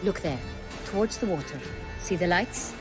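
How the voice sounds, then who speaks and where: An actress playing a commanding, regal young duchess in a video game, speaking formally and with authority. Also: female voice, lowish voice, expressive, normal speed, lightly acted. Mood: brisk, instructing. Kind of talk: video game cutscene acting. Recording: music behind the voice.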